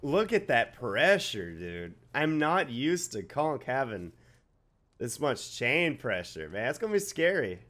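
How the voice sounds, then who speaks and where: A young man with a slightly raspy voice giving casual, swearing, excited commentary over a video game he plays at home. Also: mid-range voice. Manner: swears freely, excited outbursts and quick reactions. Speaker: gaming YouTuber. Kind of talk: live gameplay commentary. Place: home gaming setup, indoors.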